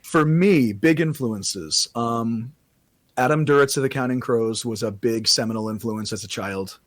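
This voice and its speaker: Male podcast guest, raspy, rambling delivery, dry mic. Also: mid-range voice, measured pace, dry recording. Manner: rambling, self-correcting casual talk. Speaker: podcast guest, singer-songwriter. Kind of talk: casual podcast chat.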